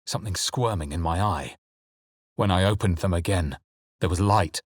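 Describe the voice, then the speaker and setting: Low, husky brooding fantasy hero. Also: male voice, normal speed, dry recording, studio quality. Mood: brooding, grave narration. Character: male fantasy adventurer hero, brooding, wary, resolute. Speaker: player character voice. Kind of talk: scripted video game voice lines, dramatic narration.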